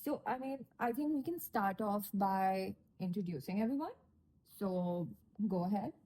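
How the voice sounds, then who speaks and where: Woman podcast host, really mellow soft-edged voice, bit husky, chatty and reflective. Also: mid-range voice, expressive, unhurried. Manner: informal, conversational. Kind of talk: reflective podcast introduction and panel moderation.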